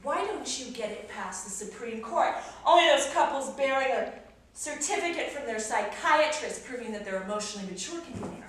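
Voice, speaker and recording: Woman playing a furious young wife, shrill high pitch, melodramatic, stage comedy, distant and echoey. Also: lively intonation, a little fast, a bit bright, slight background noise. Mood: indignant. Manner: melodramatic, emphatic, volatile. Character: emotional, furious young newlywed wife demanding divorce. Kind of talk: theatrical stage comedy acting.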